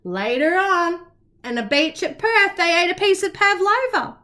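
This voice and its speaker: Female children's story reader, bright and forward, very lively intonation, expressive delivery for small kids. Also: very high-pitched, unhurried. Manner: expressive, with playful asides to young listeners. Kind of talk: animated picture-book reading for young children.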